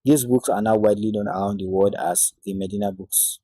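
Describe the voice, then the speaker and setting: A man narrating an introductory Arabic lesson in a measured, devout way, opening and closing with Islamic invocations. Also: fairly low pitch, steady tone, warm tone, slightly rough, dry recording. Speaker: Arabic teacher.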